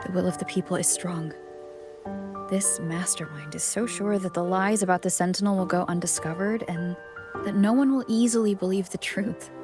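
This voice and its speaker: A woman acts out a steadfast adventurer in a fantasy game. Her voice is on the low side, calm and deliberate, with music clearly audible behind it. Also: natural voice. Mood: calm, resolute. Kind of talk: scripted game story dialogue. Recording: background music under the voice.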